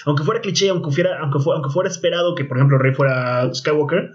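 A man's voice: fairly high pitch, fast. Slangy young Mexican man, dull audio. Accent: Mexican Spanish. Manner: animated, slangy, trails off into repeated restarts. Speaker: podcast co-host. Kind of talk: casual chat.

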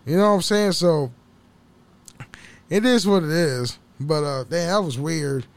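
A man with a somewhat high-pitched voice chats alone into a home mic, loose and rambling. He curses freely and laughs often, and his voice carries a slight hoarseness. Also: a little slow. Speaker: podcast host. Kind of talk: casual solo podcast chat.

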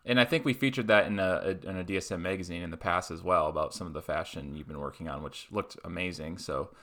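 A man hosting an interview podcast, with a friendly scripted intro and then relaxed questions. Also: low voice, quick pace. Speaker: podcast host. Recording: music playing behind.

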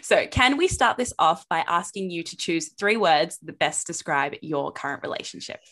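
A woman's voice: lowish voice, expressive, moderate pace, a bit bright. Bubbly woman hosting. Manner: bubbly, gushing, exclamatory. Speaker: podcast host. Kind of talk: casual interview chat.

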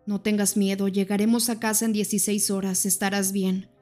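In this audiobook, a protective young vampire husband, as the woman narrating reads him speaks low and urgently, with a tense edge that goes cold. He talks in a steady, flat line with little rise and fall. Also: fairly quick, faint music behind, lightly acted. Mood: soothing.

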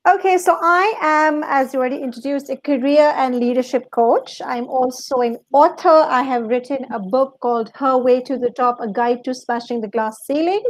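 Female interview guest from Pakistan, fairly high voice, explanatory answers, close dry recording. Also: moderate pace, hardly any pauses, no echo. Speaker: interview guest, leadership coach and author.

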